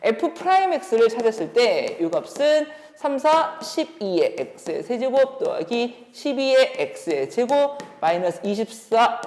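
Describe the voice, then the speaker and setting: A woman's voice: a little high, a little mellow. A woman teaching as a math instructor, explaining exam solutions step by step and often posing questions as she goes. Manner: instructive, poses questions while working through steps. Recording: echoey room with long reverb.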